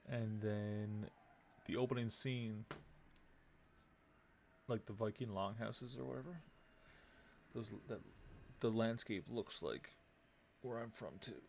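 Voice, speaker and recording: A man's voice: lowish voice, takes it slowly, gravelly, long pauses, darkish tone, slight background noise. A man giving casual live commentary on a game he is playing, muttering his moves aloud. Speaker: game streamer. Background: game music.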